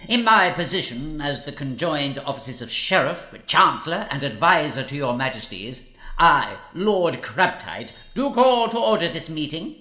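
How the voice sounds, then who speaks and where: An actor playing a pompous, obsequious royal advisor in a comedy audio drama, speaking in florid, ceremonious phrases. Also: male voice, on the high side, very animated, a little slow, sharp tone, reverberant, exaggerated voice, poor audio. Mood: pompous, fawning.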